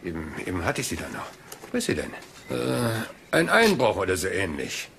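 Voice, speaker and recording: An actor playing a polite, seemingly scatterbrained police inspector in a crime drama, hesitant, rambling and deferential. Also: male voice, low-pitched, wildly swooping pitch, fairly quick, gravelly, a bit bright, slight background noise, exaggerated voice. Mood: apologetic, rambling. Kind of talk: German crime drama dialogue.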